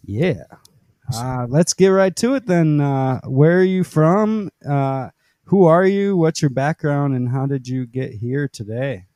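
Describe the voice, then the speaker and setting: A man hosting a casual podcast interview, talking in a halting way with many fillers. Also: on the high side, warm tone, no echo, studio quality. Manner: halting, many uhs and likes. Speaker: podcast host.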